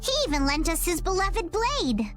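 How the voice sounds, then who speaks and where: A woman voicing an earnest, expressive, polite adventurer girl in a video game cutscene. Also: very high voice, normal speed, soft background music.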